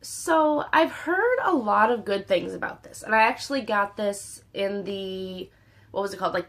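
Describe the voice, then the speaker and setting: A young woman with a slightly raspy voice, still recovering from illness, chatting candidly about beauty products she has used up. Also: mid-range voice, a bit bright. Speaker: beauty vlogger. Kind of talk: casual product review.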